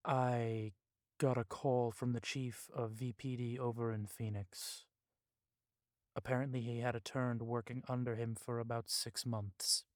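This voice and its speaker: An actor playing a stern, cautious vampire police chief in an audio drama, speaking gravely with some Spanish words mixed in. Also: male voice, medium pitch, level tone, measured pace, no room sound, clean studio sound, close to an everyday voice. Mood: measured, watchful.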